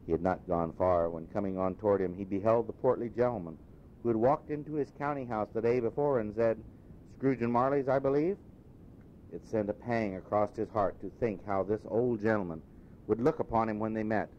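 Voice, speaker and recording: A male audiobook reader with a fairly low voice gives an expressive literary narration. The recording is somewhat dull, with faint noise and rumble behind him. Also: a little fast.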